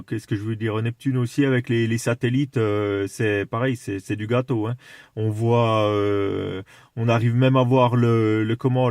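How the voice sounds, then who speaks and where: Man, mid-pitched voice, halting casual delivery, enthusiastic explainer, very dry sound. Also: darkish tone, clean tone, no room sound. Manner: hesitant, halting, searching for words. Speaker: amateur radio operator. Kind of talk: casual chat over CB radio.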